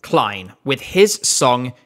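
A young man speaking into a desk microphone in his living room, giving an animated casual review and gesturing with his hands. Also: medium pitch, extremely slow. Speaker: video reviewer. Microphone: studio mic on a stand in front of him.

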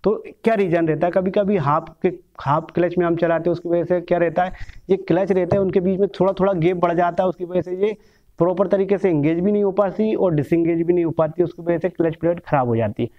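A man's voice: sits high, fairly even intonation, quick pace. A man in his thirties teaching bike mechanics, explaining step by step while handling engine parts in a small room. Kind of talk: hands-on workshop lesson. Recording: dull lo-fi sound.

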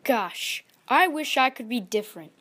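A woman's voice: a little high, lively intonation, moderate pace, a bit bright, breathy edge, voice pushed up. A woman voicing a vain, insecure, grouchy little male chameleon for a children's storybook, boastful and defensive in manner. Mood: fretful, confused.

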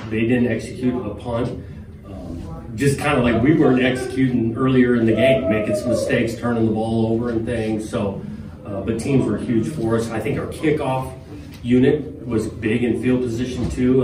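A middle-aged man, a head football coach, answering postgame press questions in a measured, plainspoken way with frequent fillers. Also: medium pitch, very animated. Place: press conference room with a logo backdrop. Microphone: podium microphone, filmed from a far camera. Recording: roomy, distant, with room noise.

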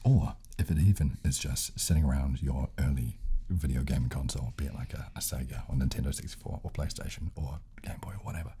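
A man in his thirties speaks very low and softly into a close microphone. He hosts a casual ASMR lesson, unhurried and often near a whisper, over clear handling noise of clicks and crinkles. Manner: hushed, unhurried, hesitant restarts, often slipping into whispers. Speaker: host and ASMR instructor. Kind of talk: soft-spoken ASMR tutorial with casual chat. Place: small furnished room, indoors. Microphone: desk condenser microphone, close up. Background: button clicks, crinkling.

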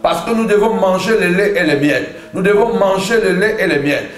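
A man's voice: sits high, lively intonation, normal speed. A man preaching a fervent charismatic sermon in a declamatory way, hammering phrases through insistent repetition. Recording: echoey room with background music.

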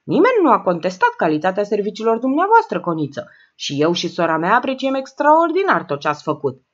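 A woman's voice: medium pitch, wildly swooping pitch, dull audio. A woman reading aloud and voicing a polite man trying to placate others. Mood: placating. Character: polite conciliatory adult man.

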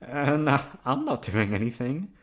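A man with a mid-pitched voice performs an ASMR boyfriend roleplay. He sounds playful and coaxing, with lively swoops in pitch as he pleads and teases affectionately. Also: normal speed. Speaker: voice actor performing an audio roleplay.

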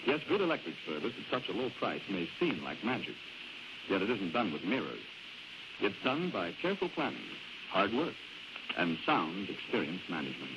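A man's voice: low-pitched, big pitch swings, moderate pace, very noisy. Male American radio announcer, 1940s. Polished, swooping sponsor delivery on a dull, band-limited vintage recording. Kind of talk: 1940s radio sponsor pitch, scripted banter.

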